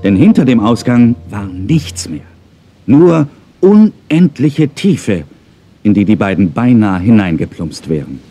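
A man's voice: lowish voice, big pitch swings, a little slow, warm tone, husky, soft background music. A man narrating a scripted children's audio story.

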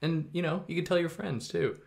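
A young adult man hosting a podcast, explaining philosophy in a relaxed, conversational way with a soothing voice and sudden upward jumps in pitch. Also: fairly quick, creaky. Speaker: podcast host. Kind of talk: casual explainer monologue.

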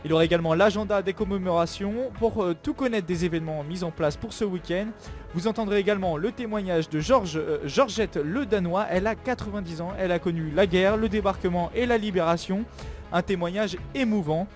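A young man hosting a live radio show outdoors on a stand mic, announcing briskly with light banter. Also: high voice, soft background music. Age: young adult. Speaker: radio host. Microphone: studio mic on stand with headphones.

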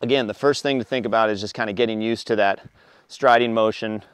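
A man in his thirties, an endurance coach, explaining roller ski gear outdoors by a forest road, relaxed, with frequent fillers. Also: fairly low pitch, quick pace, smooth, no room sound. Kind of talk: outdoor equipment tutorial.

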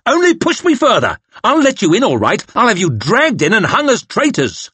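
A man's voice: on the high side, very animated, moderate pace, slightly rough, no room sound, pitched above the performer's own voice. A man doing a sneering arrogant young officer. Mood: angry. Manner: haughty, sneering, snapping.

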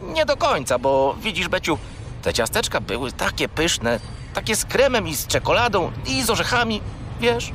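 Wildly animated cheeky furry creature. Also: male voice, high voice, moderate pace, a little hiss or hum, full character voice. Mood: sheepish, evasive. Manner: rambling, naively evasive, whiny. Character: naughty, chatty, cheeky little furry toy companion. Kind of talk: children's radio play acting.

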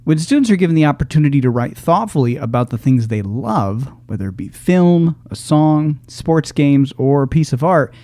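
Male podcast host for scripted intros; soft-edged, mellow delivery, very dry recording. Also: on the high side, very animated, moderate pace, few pauses, dry recording.

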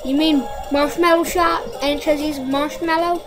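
A woman's voice: sits high, fairly even intonation, a little slow. A woman co-hosting a game playthrough, joking and rambling in a casual way, with game music behind her. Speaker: co-host. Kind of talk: casual gameplay chat.

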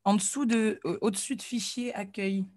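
A woman's voice: mid-range voice, steady tone, moderate pace, darkish tone, no echo. Young female webinar moderator, mid-range voice, dry sound. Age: young adult. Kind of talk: hosting a web conference. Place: indoor room at home. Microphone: video call.

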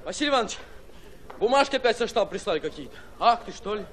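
An actor playing an eager, obedient army orderly in a radio drama. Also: male voice, high-pitched, very animated, racing, fairly bright tone. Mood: eager, dutiful. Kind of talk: Russian radio drama acting. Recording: echoey, noisy crowded scene.

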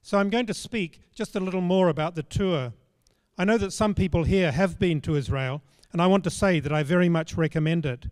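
An older man preaching a sermon on a church stage into a handheld microphone, in a measured storytelling manner. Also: high-pitched, a little mellow, no echo, in a large hall. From Australia. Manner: measured, storytelling, plain spoken.

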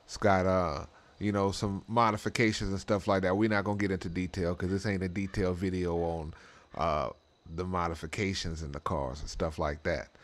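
Deep-voiced man, slangy and rambling. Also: fairly even intonation, moderate pace. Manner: slangy, rambling, frequent "you know what I'm saying". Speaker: host of a drag racing role-play channel. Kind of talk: casual in-character game role-play commentary. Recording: clear background noise.

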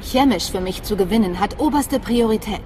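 A woman playing a composed, determined secret agent in a video game, measured and terse. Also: medium pitch, background noise, natural voice. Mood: assured, determined. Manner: measured, terse, self-assured. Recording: long reverb, faint music behind.

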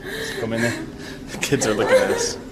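A young man of middling pitch chats casually on a live stream. His voice moves around a lot, with clear ambient noise behind it. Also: huge pitch swings, brisk. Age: young adult. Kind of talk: casual chat on an outing. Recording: noisy live camera audio, ambience.

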